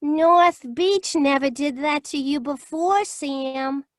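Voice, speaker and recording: A woman's voice: high-pitched, slow pace, no room sound, clean studio sound. A woman playing a perky, devoted detective's secretary in 1940s radio style, exclamatory and puzzled.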